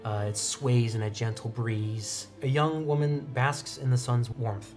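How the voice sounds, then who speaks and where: A man's voice: mid-range voice, fairly even intonation, a little slow, darkish tone. Man narrating a tabletop game over music. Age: young adult. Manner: descriptive, scene-setting storytelling. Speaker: game master. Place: indoor studio, seated at a desk. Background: background music.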